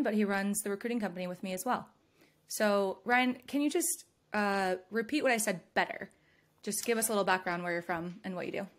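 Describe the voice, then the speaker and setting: A young adult woman from California hosting a podcast, giving advice in an upbeat, conversational way and laughing often. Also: mid-range voice. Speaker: podcast host. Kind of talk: casual advice chat.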